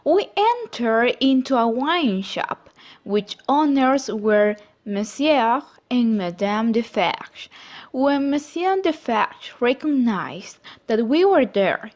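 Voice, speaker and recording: A woman's voice: on the high side, expressive, a little mellow. A woman playing a tender young daughter who tells her own story in a scripted amateur dramatization of a classic novel. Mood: measured, recounting. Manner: slow, deliberate reading when narrating.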